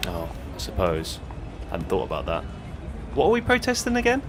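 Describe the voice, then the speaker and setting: An agreeable, unsure young protester in a satirical audio drama. His low voice moves with lively, tentative inflection, set against clear outdoor street noise. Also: moderate pace, full character voice. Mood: naive, tentative. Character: hesitant, gullible, apologetic young male protester. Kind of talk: naturalistic comic audio drama dialogue.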